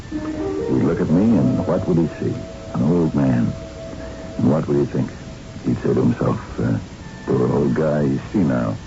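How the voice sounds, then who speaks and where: A very deep, gravelly old police sergeant in a vintage radio drama. He sounds weary and folksy, reminiscing in a halting way. The broadcast is muffled, with clear music underneath. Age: older. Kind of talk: 1970s radio drama acting, reminiscing narration.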